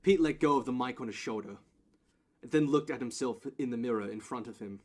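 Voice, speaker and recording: Male narrator for audio drama, mid-range pitch, reading scripted scene description. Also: brisk, a little mellow.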